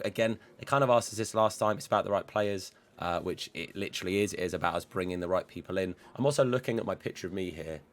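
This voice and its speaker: A low-voiced man running casual gameplay commentary, his voice rough and hoarse. He talks quickly, in an upbeat, rambling way. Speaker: gaming channel host.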